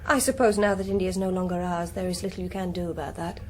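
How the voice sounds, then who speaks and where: An actress playing a politician's defiant young British daughter in a radio drama, cutting, wounded and dryly sarcastic. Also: female voice, on the low side, fairly even intonation, quick pace, a little mellow, slight background noise, natural voice. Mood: icy sarcasm. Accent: British English.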